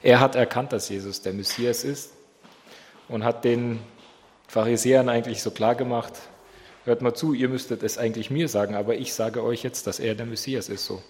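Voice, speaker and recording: A man's voice: mid-range voice, steady tone, normal speed. Man with a slight cold, Bible study leader who quizzes the group, voice in a reverberant hall. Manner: asks the group questions. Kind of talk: prayer and interactive Bible study. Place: church hall.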